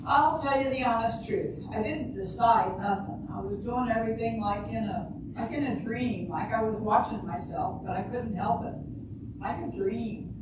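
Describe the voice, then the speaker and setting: A woman's voice: a little high, normal speed, lightly acted. An older woman in an amateur readers' theater playing a naive, blunt, literal-minded housewife and mother. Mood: earnest recounting. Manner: literal-minded, plain, ungrammatical phrasing. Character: naive, blunt housewife and mother, matter-of-fact about shooting husband. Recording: distant, very echoey, noisy room.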